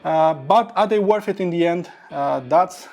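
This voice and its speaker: Higher-pitched man, creaky, enthusiastic reviewer. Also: a little slow, a little mellow. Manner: enthusiastic, piles up adjectives. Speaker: product reviewer. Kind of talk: audio gear review to camera.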